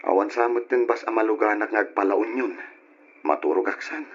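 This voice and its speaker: A man's voice: on the low side, a little fast, lightly acted. An actor playing a young man in love, an earnest and playful suitor in a radio drama. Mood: casual, teasing. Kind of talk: old radio drama acting. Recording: dull, lo-fi old radio drama.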